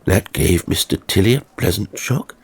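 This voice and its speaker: A man narrating a ghost story from a script in a measured, theatrical, genteel manner. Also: low voice, lively intonation, husky.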